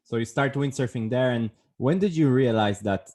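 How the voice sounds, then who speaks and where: A man's voice: mid-range voice, normal speed, a little mellow. A man hosting a podcast, casual and joking, who teases his guest and swears freely. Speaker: podcast host.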